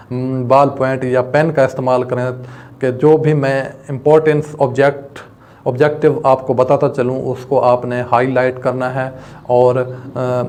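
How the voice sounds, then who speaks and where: Pakistani man lecturing, flat, even pitch. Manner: addresses students directly, explains step by step. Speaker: advocate giving law lectures online. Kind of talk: instructional lecture mixing in English legal terms.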